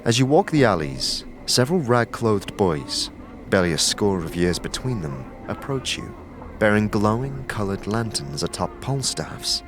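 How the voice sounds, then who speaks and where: A man narrating a fantasy audio series in scripted, measured, descriptive second-person storytelling. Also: on the low side, very animated, music in the background.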